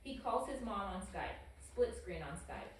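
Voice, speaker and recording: A woman's voice: medium pitch, animated, a little slow. A woman reading scripted stage directions as the narrator of a table read. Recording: echoey room, distant microphone, faint noise.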